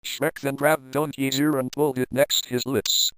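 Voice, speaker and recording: A man's voice: medium pitch, level tone, moderate pace, a bit bright, clear, resonant tone, no echo, rough recording. A man reading a comic fan fiction story aloud in a playful, crude, dramatic manner.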